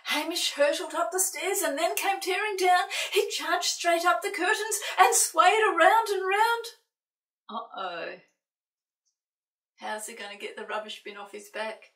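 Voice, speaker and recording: A woman reading a children's picture book aloud in an expressive way, with playful, dramatic asides to young listeners. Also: very high voice, a little slow. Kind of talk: expressive children's storybook narration. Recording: roomy, slightly distant microphone.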